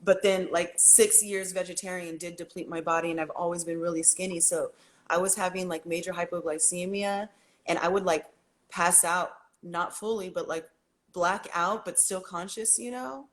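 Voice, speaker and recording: A woman's voice: fairly low pitch, fairly even intonation, normal speed. A woman guest on a casual livestream chat, talking emphatically and bluntly, with some swearing.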